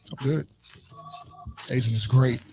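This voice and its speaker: A man's voice: mid-range voice, steady tone, unhurried, darkish tone, faint music behind. A man in his forties on a casual panel chat, easygoing and joking. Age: 40s. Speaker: co-host.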